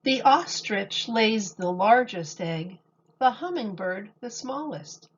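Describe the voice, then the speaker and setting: A woman teacher reading a picture book aloud to young children in a deliberate, expressive storytelling manner. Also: medium pitch, slightly rough, muffled recording.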